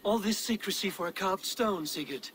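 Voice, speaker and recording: Blunt, bright-voiced Viking warrior. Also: male voice, sits high, expressive, normal speed, slight background noise. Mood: impatient. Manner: blunt, challenging. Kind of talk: dramatic video game acting.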